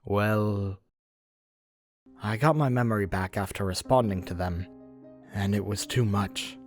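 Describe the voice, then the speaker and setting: A man's voice: on the low side, a little slow. A man voicing a troubled young skeleton monster in a comic dub, hesitant, brooding and full of guilt. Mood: haunted. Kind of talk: amateur comic dub voice acting. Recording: music bed under the voice.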